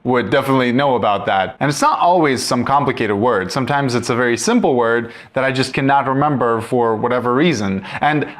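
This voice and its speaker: A man's voice: mid-range voice, brisk, few pauses, fairly bright tone. Young man rambling thoughtfully to camera. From Russia. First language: Russian. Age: young adult. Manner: thoughtful, hesitant, restarts when searching for words. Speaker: vlogger. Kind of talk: casual rambling vlog talk.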